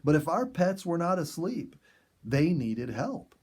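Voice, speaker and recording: A man reading a story aloud as a narrator, with animated delivery and dramatic pauses and emphasis. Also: on the high side, slightly rough. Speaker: audiobook reader and story host.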